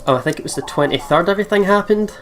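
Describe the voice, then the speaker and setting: A man's voice: high voice, very fast, crowd noise. A man hosting a let's play with casual, jokey, self-deprecating chat.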